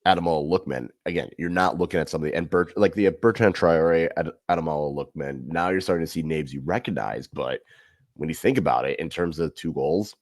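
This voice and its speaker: A man co-hosting a casual sports podcast, running through player stats with animated asides. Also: a bass voice, fairly quick. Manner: animated, listing stats, swings up when amused. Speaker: podcast co-host.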